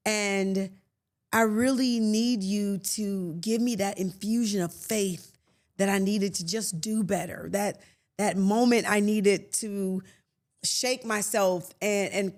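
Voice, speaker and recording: A woman's voice: mid-range voice, fairly even intonation, slow. Earnest woman in her late fifties. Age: 50s. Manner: earnest and conversational, with soft laughs and restarts. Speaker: podcast host. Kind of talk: reflective faith podcast monologue.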